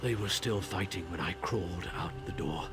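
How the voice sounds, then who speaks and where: An actor playing a desperate, wounded, grieving husband in a fantasy video game, speaking haltingly and in pain. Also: male voice, low-pitched, creaky. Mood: weak, pleading. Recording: music behind the voice.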